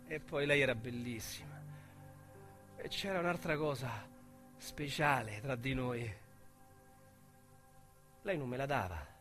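An actor with a Roman accent playing a small-time male crook who confides his past in a radio drama, speaking in a wistful, halting way. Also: mid-range voice, a bit bright, faint music behind, natural voice. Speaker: narrator-protagonist.

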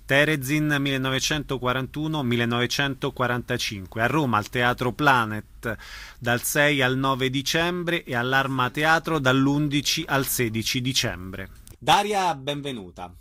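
A man's voice: mid-range voice, measured pace, bright, forceful tone, dry recording. A man hosting a radio programme, interviewing guests and announcing events. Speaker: radio host interviewing theatre artists.

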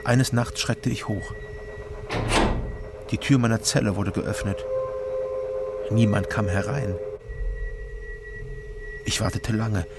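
An actor playing an imprisoned private detective in a German radio crime drama, terse and deliberate. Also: male voice, fairly low pitch, very noisy. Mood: brooding narration. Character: indignant imprisoned East Berlin private detective, weary first-person narrator.

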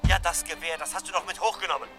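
An actor playing a tense, impatient husband giving urgent, clipped instructions in a German radio crime play. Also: male voice, fairly high pitch, fairly even intonation, racing, faint music behind, close to an everyday voice.

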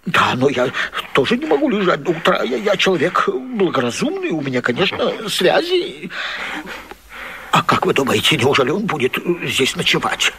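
High-pitched, flustered, pompous gentleman. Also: wildly swooping pitch, normal speed, full character voice. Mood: agitated. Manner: pompous, agitated, indignant. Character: fussy, flustered respectable married man caught under a bed. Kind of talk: theatrical radio vaudeville acting.

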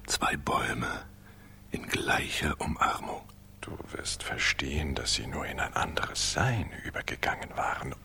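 An actor playing an amorous ancient tree spirit in a radio play, telling tales in a florid, theatrical way with a breathy, hissing whisper. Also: male voice, sharp tone, heavily acted. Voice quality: breathy, hissing whisper over gravelly low voice. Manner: theatrical, florid, savoring each phrase. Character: amorous talking tree spirit, male, worldly ancient storyteller. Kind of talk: dramatic radio play storytelling.

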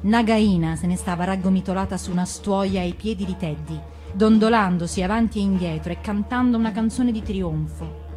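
A woman's voice: mid-range voice, normal speed, dark tone, soft background music. A woman narrating a storybook tale in a dramatized reading style. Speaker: actress reading a fairy tale.

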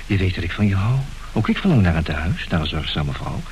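An actor playing a smooth-talking, greedy marriage swindler in a radio play, glib, wheedling and falsely tender. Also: male voice, low-pitched, sing-song, fast, a little mellow, low-quality recording. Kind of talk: Dutch radio play acting. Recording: old radio recording, faint hiss.

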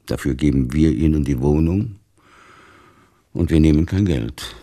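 An actor playing a devout, stern, weary older father in a literary radio play, speaking gravely with a gravelly, husky voice. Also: rumbling low, measured pace, dark tone. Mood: resigned.

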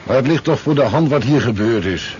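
A male actor plays a matter-of-fact local sheriff from a 1970s radio drama. He is blunt and skeptical, speaking in a middling pitch. The recording is dull and faintly noisy. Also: lively intonation, brisk, darkish tone, close to an everyday voice.

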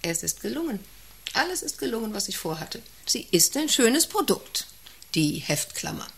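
An actress in a radio play playing an imperious, overwrought woman director, theatrical, moving between hushed musing and sharp commands. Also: fairly high pitch, very animated, moderate pace, vocal fry, slightly rough, exaggerated voice. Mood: wistful. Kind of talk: German radio play acting.

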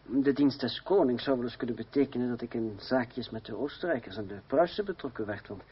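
An actor playing a witty, courteous young French fencing master in a radio play, formal and dryly ironic. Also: male voice, medium pitch, fairly quick, lightly acted. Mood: respectful. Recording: muffled old radio play, faint noise.

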